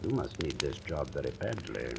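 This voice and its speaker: Casting: domineering, volatile master of the house, low voice, dramatic swings, vintage radio recording with noise. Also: male voice, sing-song, normal speed, gravelly, exaggerated voice. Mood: commanding. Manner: imperious, commanding, volatile. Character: domineering, sardonic writer, rumoured wife-killer, menacing. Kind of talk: 1940s radio drama acting.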